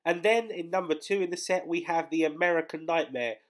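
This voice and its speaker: Listening in, you hear a young man who sounds bunged up and under the weather, his voice sitting a bit high for a man. He chats and rambles in a loose vlog voice-over, filling gaps with lots of ums and uhs. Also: a little fast. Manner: chatty and rambling, many fillers. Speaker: collectibles channel host. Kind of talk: casual news vlog voice-over.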